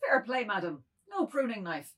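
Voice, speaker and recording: Woman as a wordy, guilt-ridden man, husky voice. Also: fairly high pitch, big pitch swings, moderate pace, a bit bright. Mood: defiant, mocking. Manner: wordy, theatrical. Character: self-abasing middle-aged man, guilty, wordy, then defiant. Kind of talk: dramatized reading of a modernist novel.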